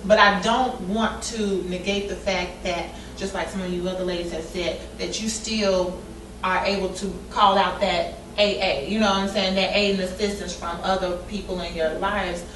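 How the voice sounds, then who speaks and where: A woman's voice: medium pitch, steady tone, normal speed, a bit bright. A woman reasoning aloud earnestly in a casual group discussion, checking in with her listeners. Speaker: panelist in a women's discussion circle. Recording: echoey room picked up from a distance, clear background noise.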